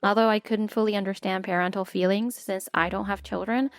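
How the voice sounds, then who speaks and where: A woman voicing a polite, put-upon stepmother who narrates her own story in an expressive manga dub. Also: medium pitch, a little fast, clear, resonant tone, no echo, clean studio sound, lightly acted. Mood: narrating, troubled. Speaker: narrator and lead character. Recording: music bed behind the voice.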